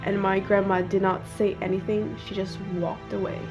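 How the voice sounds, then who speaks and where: Steady, even-toned teen girl from China. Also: medium pitch, moderate pace. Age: teens. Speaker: student. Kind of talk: documentary interview. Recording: faint music underneath.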